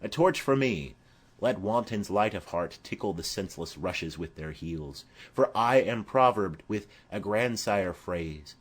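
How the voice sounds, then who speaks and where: Heavy-hearted young lover for a Shakespeare audiobook, played by a man, mournful and poetic, slow, with wide melodic swings. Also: on the low side, animated. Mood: brooding. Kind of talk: dramatic Shakespeare audiobook reading.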